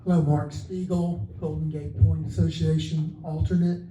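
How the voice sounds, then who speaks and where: A man with a fairly high but very dark, mellow voice speaks haltingly, leaning on fillers. He is heard from far off in an echoey hall. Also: little pitch movement, a little hiss or hum. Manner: halting, many filler words. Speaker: neighborhood association alternate. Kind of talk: public meeting statement. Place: meeting hall.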